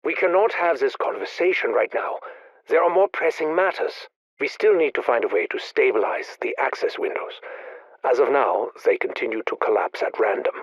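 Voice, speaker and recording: An actor playing a secretive, obsessive scientist, formal and measured, muttering a research log in a video game. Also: male voice, mid-range voice, expressive, no room sound, lo-fi sound. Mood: impatient. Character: brooding, secretive, obsessive scientist recording private research logs.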